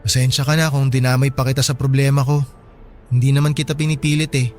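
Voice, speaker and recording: A man's voice: medium pitch, flat delivery, quick pace, faint music behind, lightly acted. A man with a Filipino accent playing an earnest, wounded young half-brother who works as a porter in a radio drama. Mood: resigned. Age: young adult. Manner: pleading, gentle. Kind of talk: dramatized radio love story.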